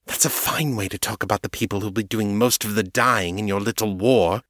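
A man's voice: on the low side, brisk, fairly bright tone, no room sound, clean studio sound, natural voice. A man voicing a blunt, principled female vampire who presses her points directly. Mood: firm.

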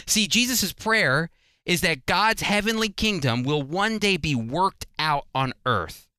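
Guy teaching bible on the radio, bright smooth voice, really animated, conversational and emphatic. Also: high-pitched, measured pace, dry recording, clean studio sound.

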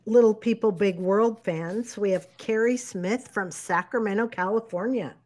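A woman co-hosting a live chat show, upbeat and encouraging, reading out viewer shout-outs. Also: mid-range voice. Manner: upbeat, encouraging, quick interjections. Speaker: livestream co-host.

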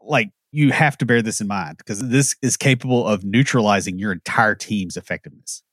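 Blunt wry man with a low voice. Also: a little fast, a bit bright, dry recording, studio quality. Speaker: podcast co-host. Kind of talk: casual opinionated chat.

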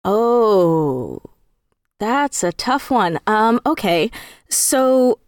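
A woman's voice: mid-range voice, big pitch swings, drawn-out words, darkish tone, clean tone, no room sound, studio quality. A woman speaking casually as an interview guest about creative work, with an emphatic way of talking. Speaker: interview guest, audio drama creator and actor.

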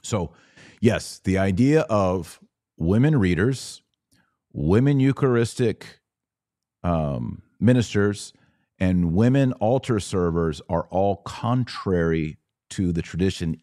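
Male podcast host, low-pitched and dry close sound, didactic, informal teaching delivery for viewer questions. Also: lively intonation, takes it slowly, warm tone, no room sound. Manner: didactic, explanatory, asks and answers own questions. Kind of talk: live Q&A, informal religious teaching.

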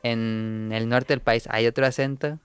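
A man with a central Mexican accent, co-hosting a casual podcast chat and recommending shows in a relaxed way. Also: mid-range voice, measured pace, clean tone, dry recording, soft background music. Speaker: podcast co-host.

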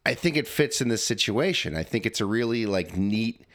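Male podcast host, slightly nasal, low voice, lively and rambling. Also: moderate pace. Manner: animated, rambling with fillers. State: a little nasal. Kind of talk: casual podcast chat.

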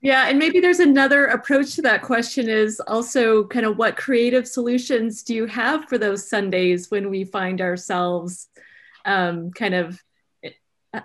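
A woman's voice: mid-range voice, steady tone, a little slow. A woman co-hosting over a video call, wording her question tentatively. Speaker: co-host.

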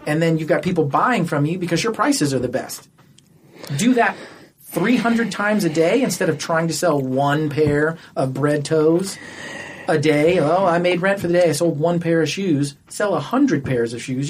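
A man's voice: fairly high pitch, a little hiss or hum. A man in a casual podcast discussion, making his case with rhetorical questions and little acted-out quotes. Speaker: podcast guest or co-host.

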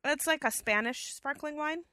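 A woman's voice: high-pitched, moderate pace, sharp tone, no room sound, studio quality. Chatty woman with a scratchy cold. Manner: chatty storyteller, many fillers. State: has a cold, slightly scratchy. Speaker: podcast co-host. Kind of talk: casual chat. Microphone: headset.

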